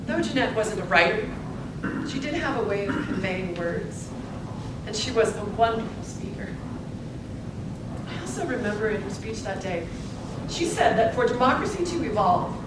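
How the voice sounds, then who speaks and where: A woman playing an earnest, determined young newspaper reporter of the suffrage era in a staged reading, speaking deliberately and emphatically. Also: animated, lightly acted. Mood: reflective, inspired. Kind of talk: live staged play reading. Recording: echoey hall from far off, noisy rumble.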